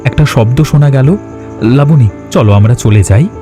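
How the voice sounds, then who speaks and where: A man's voice: mid-range voice, moderate pace, lightly acted. A man voicing an honest, timid husband who warns his greedy wife. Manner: anxious, scolding. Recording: voice over music.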